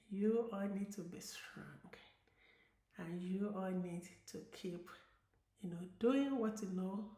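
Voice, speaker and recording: A woman's voice: mid-range voice, darkish tone. A middle-aged woman with a Nigerian accent, a diabetes educator talking to her viewers in an earnest, encouraging way and repeating key words for emphasis. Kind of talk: casual vlog talk to viewers. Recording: roomy echo.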